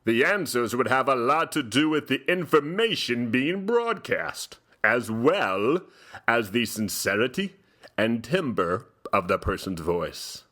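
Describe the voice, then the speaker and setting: Pompous gentleman host, very slow delivery, mid-pitched, dramatic pauses, mock-solemn literary reading, comedy theatre style. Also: sing-song, full character voice.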